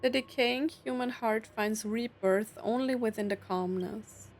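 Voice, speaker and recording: A woman's voice: a little high, measured pace, slight background noise. A woman game streamer casually commentating and reading game text aloud as she plays.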